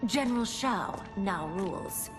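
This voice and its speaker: A woman's voice: medium pitch, animated, unhurried, over music, natural voice. A woman playing a fierce, defiant young warrior princess in a game cutscene. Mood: scheming. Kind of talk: video game cutscene acting.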